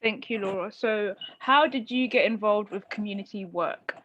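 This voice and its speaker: A woman's voice: mid-range voice, moderate pace, fairly bright tone. A woman moderating a panel asks a short, polite question. The recording is slightly dull and muffled, with faint noise in the background.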